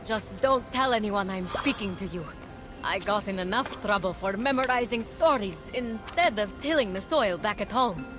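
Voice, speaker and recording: An actress playing an eager, brave young soldier in a video game, earnest and expressive. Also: female voice, medium pitch, moderate pace, music in the background, lightly acted. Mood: eager, wondering. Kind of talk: video game character acting.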